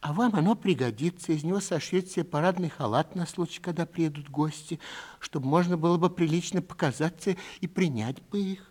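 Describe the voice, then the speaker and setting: A man voicing a gentle, resigned old woman who speaks quietly and earnestly in a literary story. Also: on the high side, fairly quick, pitched above the performer's own voice, lightly acted. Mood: solemn, earnest. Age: older.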